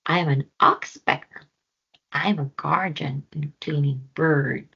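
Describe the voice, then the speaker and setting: A woman's voice: sits low, fairly even intonation, slow pace, creaky, a bit bright, breathy edge, lo-fi sound, full character voice. A woman voicing a small, proud, dutiful guardian bird in a children's storybook, matter-of-fact. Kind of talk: children's storybook read-aloud.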